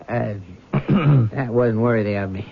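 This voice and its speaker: Gruff, wry army sergeant, dull recording. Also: male voice, mid-range voice, a little hiss or hum. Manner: dry, teasing, world-weary. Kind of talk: 1970s radio drama acting. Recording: dull old radio recording.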